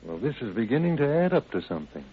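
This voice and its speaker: An actor playing a steady, methodical county sheriff who questions in a measured, folksy way, in an old radio crime drama. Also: male voice, medium pitch, breathy edge. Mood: calm, businesslike. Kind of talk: 1940s-50s radio crime drama acting. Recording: tinny band-limited old radio recording.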